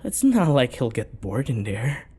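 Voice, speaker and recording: A man playing a possessive, obsessive dating-game character in an intimate ASMR roleplay, softly coaxing with endearments, sighs and whispers. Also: mid-range voice, very animated, normal speed, slight background noise, natural voice. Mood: explaining, coaxing.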